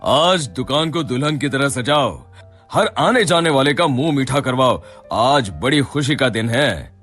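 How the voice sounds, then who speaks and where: A man playing a shrewd, wealthy shop boss in a Hindi moral-story cartoon, with a measured, theatrical way of talking. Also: medium pitch, lively intonation, a bit bright, soft background music.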